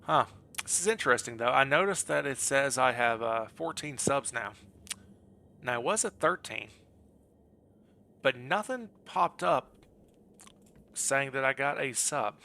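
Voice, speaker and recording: A man's voice: mid-range voice, animated, a little slow, long pauses, pushed and bright. A man livestreaming a game, chatting casually in a friendly, rambling way as he thinks aloud. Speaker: game streamer. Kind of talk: casual livestream chat over gameplay.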